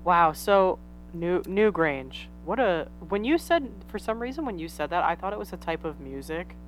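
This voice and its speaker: A woman's voice: on the low side, animated, normal speed, slight background noise, rough recording. A woman co-hosting a casual podcast. She reacts with enthusiastic, exaggerated remarks. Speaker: podcast co-host. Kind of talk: casual chat.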